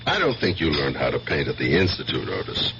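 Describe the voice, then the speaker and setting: An actor playing a wry, probing police detective in a 1970s radio drama, conversational in manner. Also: male voice, extremely low pitch, wildly swooping pitch, fairly quick, heavily acted. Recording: muffled 1970s radio broadcast, faint hum.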